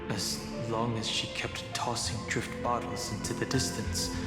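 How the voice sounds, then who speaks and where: Pensive companion, echoing hall. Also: female voice, a bass voice. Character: calm, pensive, analytical companion reasoning things out. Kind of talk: scripted game dialogue. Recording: echoey hall, loud music behind.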